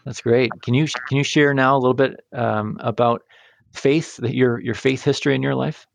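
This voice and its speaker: A man's voice: medium pitch, steady tone, normal speed, vocal fry, no room sound. A man co-hosting an interview podcast, introducing the guest and asking questions. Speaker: podcast co-host.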